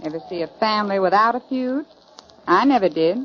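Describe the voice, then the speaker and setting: A woman's voice: medium pitch, lively intonation, a little fast, close to an everyday voice. An actress playing a catty, scheming female rodeo rival, sly and insinuating in 1940s radio style. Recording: dull, muffled 1940s radio recording.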